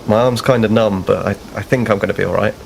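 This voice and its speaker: A man playing an earnest, sheepish young starship ensign in a tabletop roleplay, polite and halting. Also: fairly low pitch, steady tone, gravelly, slight background noise.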